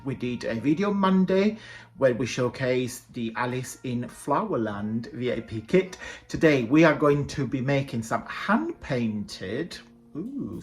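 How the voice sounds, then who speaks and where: Croaky Italian man chatting over crafts. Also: medium pitch. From southern Italy. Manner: rambling, chatty, self-correcting. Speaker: craft tutorial host.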